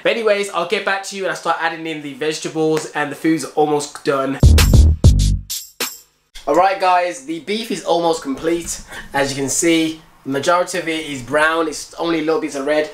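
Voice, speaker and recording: A man's voice: fairly high pitch, normal speed, over music. A British man in his twenties chatting casually to camera as a fitness vlogger, explaining things as he goes. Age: 20s. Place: small home kitchen, indoors. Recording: roomy kitchen echo, clear background noise.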